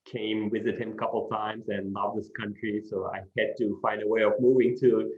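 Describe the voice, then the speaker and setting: A middle-aged man from Turkey, a professor answering interview questions in an unhurried way, with many "you know" fillers. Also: fairly low pitch, little pitch movement. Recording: echoey room, dull lo-fi sound.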